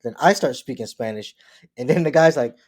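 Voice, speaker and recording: A man co-hosting a casual podcast, telling anecdotes with animated bursts, laughing and swearing playfully. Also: medium pitch, brisk. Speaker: podcast co-host. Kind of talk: casual storytelling chat.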